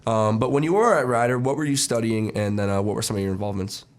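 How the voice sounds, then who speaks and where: Young guy hosting college radio, stuffy nasal voice, lowish, talks quick with lots of ums. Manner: fast, friendly, filler-heavy. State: congested, nasal. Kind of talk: casual interview chat.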